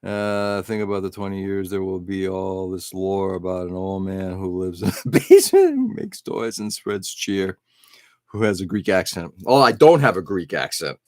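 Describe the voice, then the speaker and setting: A man's voice: low voice, big pitch swings, normal speed, a little mellow, dry recording, studio quality. A middle-aged man hosting an early-morning live stream, rambling casually and answering viewers' chat comments.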